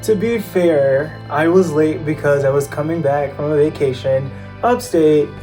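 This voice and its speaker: A man's voice: a little high, measured pace, warm tone. A man answering questions about his relationship in a wry, joking way. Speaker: interviewee. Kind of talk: casual interview. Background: guitar music.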